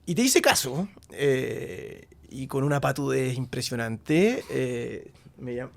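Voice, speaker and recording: A man in his thirties with a Chilean accent, hosting a casual interview, animated and slangy, often laughing. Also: mid-range voice, slow pace, gravelly. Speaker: podcast host.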